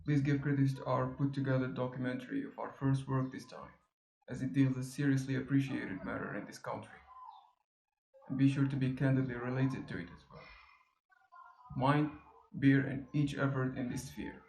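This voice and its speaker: Young man, mid-pitched, hosts a documentary, formal and wordy; booming hall with faint noise. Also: fairly even intonation, normal speed, creaky, a little mellow. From Algeria. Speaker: documentary host and interviewer. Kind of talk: documentary narration and interview.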